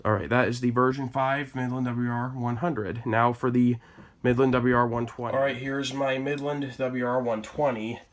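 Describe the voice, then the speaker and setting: A man's voice: mid-range voice, level tone, moderate pace, noisy background. A man with an American accent casually presenting items from his hobby collection, as in a home product show-and-tell.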